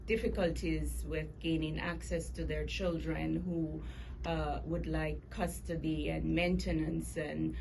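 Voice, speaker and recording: Jamaican woman with a low voice. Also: flat delivery, measured pace, vocal fry. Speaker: guest author and lawyer. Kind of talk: interview conversation. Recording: noticeable background noise.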